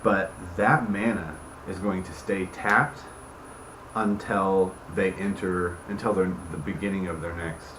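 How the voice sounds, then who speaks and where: A man's voice: sits low, fairly even intonation, measured pace. A man hosting and casually explaining game rules like a dungeon master, often checking that listeners follow. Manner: informal, checks listeners follow with tag questions. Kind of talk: casual explanatory talk to camera. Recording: echoey room with background noise.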